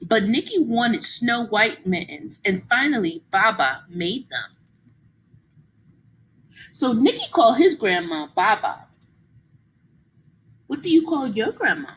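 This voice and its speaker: Animated, higher-pitched woman reading to children. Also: breathy edge, rough recording. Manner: expressive, encouraging, pauses to explain words and ask questions. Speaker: teacher reading a picture book aloud to children. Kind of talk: animated children's read-aloud with questions to listeners.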